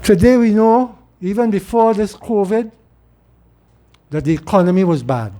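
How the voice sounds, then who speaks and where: A man's voice: sits high, moderate pace, mellow. A middle-aged man from Trinidad with a Trinidadian accent, giving a rambling informal talk and repeating words as he restarts. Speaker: political speaker. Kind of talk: informal monologue.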